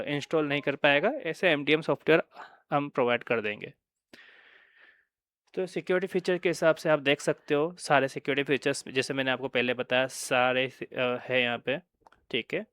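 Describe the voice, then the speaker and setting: A man's voice: a little high, a bit bright, clear, resonant tone, no room sound. A man with an Indian accent giving an informal product walkthrough, explaining and often checking in with listeners. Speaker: product presenter.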